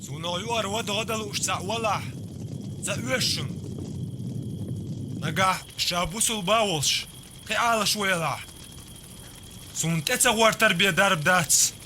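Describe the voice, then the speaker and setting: Clear ambient noise surrounds an actor playing an earnest man in an animated drama. His voice is notably high, and he talks slowly and in a measured way.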